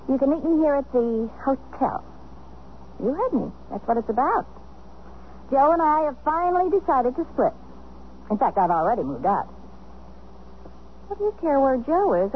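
A brisk, sharp-tongued wife in a 1970s radio play. She speaks rather high with plenty of swoop and an arch edge. The sound is that of a dull, noisy old broadcast. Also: sing-song. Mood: breezy, catty. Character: brisk, tart wife newly split from her husband. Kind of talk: 1970s radio comedy-drama acting.

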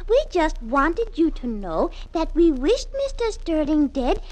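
An actress playing a prim, genteel elderly spinster in a 1940s radio drama. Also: female voice, sits high, sing-song, a little slow, darkish tone, low-quality recording.

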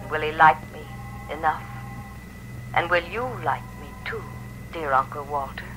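An actress playing a wistful young fiancée whose letter is heard in memory, in 1940s radio acting. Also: female voice, low voice, fairly even intonation, measured pace, fairly bright tone, poor audio. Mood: wistful, wondering. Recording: thin old radio recording with music.

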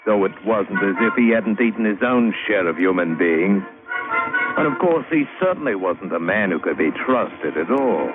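An actor playing a rough, wily British seaman who tells his tale in salty, wry slang, in old radio drama style. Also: on the low side, lively intonation, moderate pace, loud music behind the voice, natural voice. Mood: gleeful recounting. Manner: salty colloquial sailor talk, wry storytelling. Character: rough, wily British seafaring adventurer spinning his yarn. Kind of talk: 1940s-50s radio drama acting and narration. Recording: tinny, noisy old radio broadcast.

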